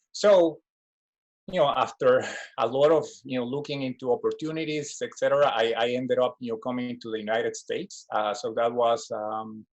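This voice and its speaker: A man's voice: mid-range voice, low-quality recording. A man in his forties from Guatemala giving a reflective personal talk as a design professor, full of "you know" fillers and restarts.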